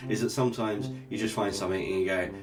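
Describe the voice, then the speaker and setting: A man with a fairly low voice chats through a casual drawing vlog. He is upbeat and jokey, talking easily while he sketches. Clear guitar music plays behind him the whole time. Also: fairly even intonation, fast talker. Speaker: art channel host. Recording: guitar music playing underneath.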